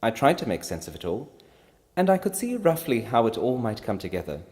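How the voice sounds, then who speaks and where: A man's voice: mid-range voice, very animated, a little fast, darkish tone, voice pushed up, close to an everyday voice. Guy doing a defiant wife in a storybook, anguished and proud, really emphatic, voice lifted a bit. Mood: proud, wistful. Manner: impassioned, emphatic, trembling with feeling. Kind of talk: dramatic storybook character reading.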